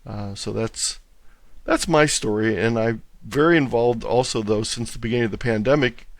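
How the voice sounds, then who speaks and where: A man's voice: medium pitch, moderate pace, no echo. A man talking conversationally as an interview guest, explaining his hobby. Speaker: interview guest, club presenter and radio contester.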